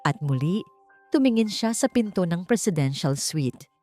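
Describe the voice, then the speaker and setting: A Filipino woman reads a dramatic audio story in a fairly low voice. Her delivery is deliberate and suspenseful, with pauses for weight. Light music plays behind her. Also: animated, warm tone. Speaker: audiobook narrator. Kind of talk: dramatic scripted story narration. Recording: music bed under narration. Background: background music.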